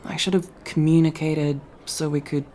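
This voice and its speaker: A woman playing a proud but nervous young elf rogue in an ASMR roleplay, with wry, halting delivery. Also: low-pitched, fairly even intonation, darkish tone, a little hiss or hum, lightly acted. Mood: sheepish, pained.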